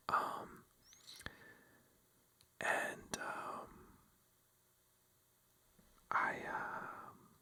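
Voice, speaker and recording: A young man telling a story in a close, soft ASMR whisper, rambling and self-mocking with lots of fillers.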